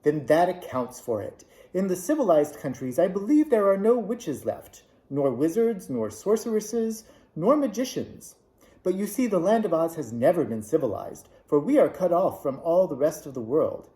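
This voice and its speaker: A man voicing a kindly little old witch woman in a storybook reading, with a gentle, solemn manner, raised higher than his own voice. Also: big pitch swings, normal speed. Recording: echoey room.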